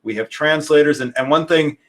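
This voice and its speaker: A man's voice: a little high, a little fast, fairly bright tone. A man hosting a conference over a video call, speaking earnestly and invitingly and often repeating words as he goes. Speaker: conference host. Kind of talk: casual conference talk.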